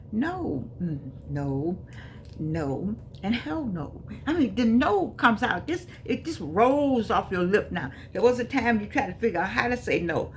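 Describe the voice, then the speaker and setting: A woman's voice: a little high, slight background noise. A woman in her eighties giving a casual motivational talk to her viewers, folksy and emphatic, often repeating herself. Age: 80s. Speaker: vlogger.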